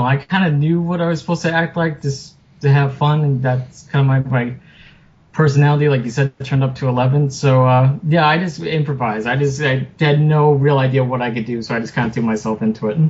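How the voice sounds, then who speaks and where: A man's voice: medium pitch, steady tone, brisk, darkish tone, highs cut off. A man chatting casually as an interview guest, rambling and self-deprecating. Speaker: podcast guest, author and film producer.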